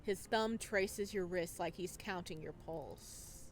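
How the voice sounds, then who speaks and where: A woman's voice: fairly low pitch, takes it slowly, a little hiss or hum. A woman narrating a visual novel playthrough as a gaming creator, with playful commentary.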